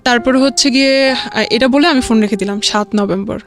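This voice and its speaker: A young adult woman with a Bangladeshi accent tells a personal story as an interview guest, rambling and halting, often repeating filler phrases. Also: a little high, faint music behind. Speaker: guest telling own life story.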